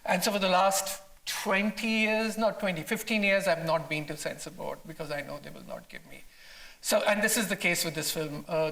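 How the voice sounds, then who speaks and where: A man, a filmmaker answering audience questions in a halting way, often repeating and rephrasing himself. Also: a little high, creaky, pushed and bright. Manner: halting, repeats and reformulates phrases. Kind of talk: conversational Q&A answer.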